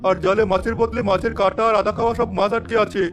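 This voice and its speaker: Man playing a fast-talking village fisherman. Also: sits high, level tone, over music, natural voice. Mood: puzzled, worried. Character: village fisherman, easygoing, puzzled companion. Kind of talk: animated folk tale character acting.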